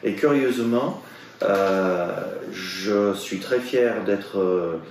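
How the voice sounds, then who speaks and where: A man from Newfoundland, a native English speaker, answering an interview in a thoughtful, halting way. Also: sits low, a little mellow, a little hiss or hum. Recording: very echoey, distant mic.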